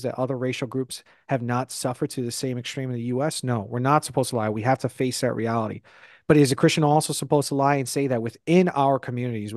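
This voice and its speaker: A man's voice: medium pitch, dry recording. A man in his thirties from the Bronx, making his points emphatically and directly on a headset and close mic. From the Bronx, New York. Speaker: podcast guest speaker. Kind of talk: casual panel discussion. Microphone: headset and close studio mic.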